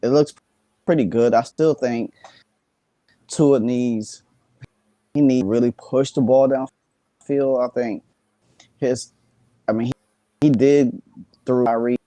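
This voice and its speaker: A sleepy man joining a sports talk show as a guest, speaking haltingly with many fillers and restarts. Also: medium pitch, warm tone, no room sound.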